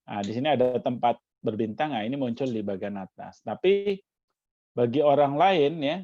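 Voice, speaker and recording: A man's voice: mid-range voice, lively intonation, moderate pace, warm tone, no room sound, muffled recording. A man from Jakarta coaching a community workshop over a video call, explaining step by step with frequent "ya" tags. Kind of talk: online workshop demo.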